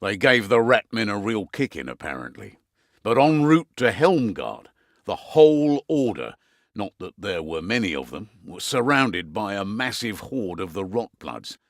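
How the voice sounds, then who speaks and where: An actor playing a gruff, weary middle-aged British innkeeper turned spymaster, briefing in a wry, conversational way for a video game. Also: male voice, fairly low pitch, big pitch swings, unhurried, no echo. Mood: grim, rueful. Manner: wry, conversational briefing with dry asides and trailing afterthoughts.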